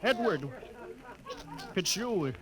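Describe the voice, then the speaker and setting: Stern, high-strung spaceship captain. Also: male voice, lively intonation, extremely slow, crowd noise, exaggerated voice, low-quality recording. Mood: shaken, moved. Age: middle-aged. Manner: commanding, clipped, urgent. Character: stern spaceship captain, suspicious, rattled by emotion. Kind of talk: 1950s radio drama acting.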